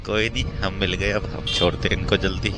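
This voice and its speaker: A man's voice: deep voice, fairly quick. A man with a North Indian accent chatting casually in a daily vlog, informal and repeating his points as he goes. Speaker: vlogger and bike taxi rider. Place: outdoors on city roads and worksite. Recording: steady outdoor traffic and vehicle noise.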